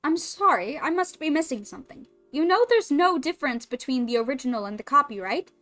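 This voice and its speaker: A woman voicing a composed female head chef with a put-on French accent, measured and teasing. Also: high-pitched, expressive, fairly bright tone, soft background music. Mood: cool.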